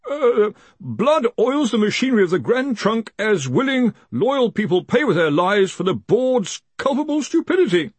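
A man's voice: sits high, big pitch swings, moderate pace, few pauses, slightly rough, dry recording, voice pushed up, full character voice. A man voicing a timid, panicky young wizard in an audiobook, hesitant, apologetic and stammering to his superior. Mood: dreading. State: panting.

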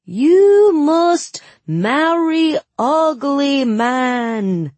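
A woman reading an audiobook voices a young woman telling fortunes in a deliberately awful foreign accent, with broken grammar. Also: sits high, sing-song, a little mellow, no room sound, voice pushed up, full character voice. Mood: mock-ominous.

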